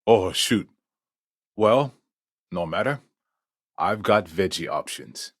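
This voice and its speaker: Low, slow, laid-back male restaurant host. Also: expressive, breathy edge, no room sound, studio quality, heavily acted. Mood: easygoing. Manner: easygoing, hospitable, unhurried. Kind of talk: scripted video game character dialogue.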